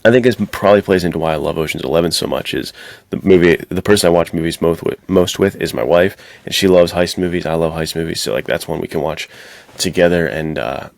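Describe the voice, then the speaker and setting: A man's voice: low-pitched, quick pace, gravelly, dry recording. A man co-hosting a podcast in casual, slangy chat, giving his opinions. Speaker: podcast co-host.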